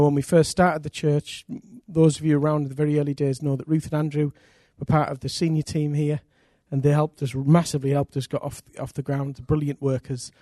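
A middle-aged male pastor speaks with enthusiasm, introducing a speaker and praying over her. His voice sits a little high for a man. The sound is close and dry. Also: dry recording. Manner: warm enthusiastic introducer, cheering. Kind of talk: church introduction and prayer. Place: church.

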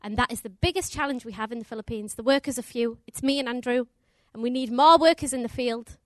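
A young woman preaches in a chatty, upbeat way and laughs often. She speaks English with a put-on American twang. Her voice is high, and the sound is close and dry. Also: fast talker, dry recording. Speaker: missionary preacher. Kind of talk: sermon. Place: church.

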